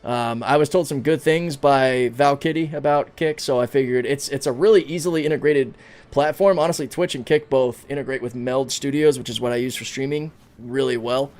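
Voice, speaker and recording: A man's voice: mid-range voice, brisk, a little mellow. A man in his thirties chatting casually on a game livestream, reading out and answering chat comments as he plays. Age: 30s. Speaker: streamer.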